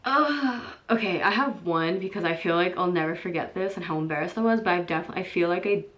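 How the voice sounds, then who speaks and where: Chatty young woman vlogger, lower voice. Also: fast talker, a bit bright. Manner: chatty, lots of "like" and "um", laughs easily. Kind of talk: casual get-to-know-me chat.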